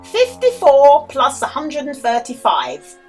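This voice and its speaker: A woman reading a children's storybook aloud as a storyteller, with dramatic emphasis and deliberate pauses for young listeners. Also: high-pitched, wildly swooping pitch, faint music behind. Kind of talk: expressive children's storybook read-aloud with quiz.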